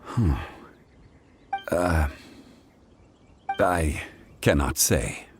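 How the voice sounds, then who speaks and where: A deep-voiced, solemn ancient god. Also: male voice, expressive, slight background noise, exaggerated voice. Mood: grave, apologetic. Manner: measured, solemn, formal. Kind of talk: dramatic game character acting.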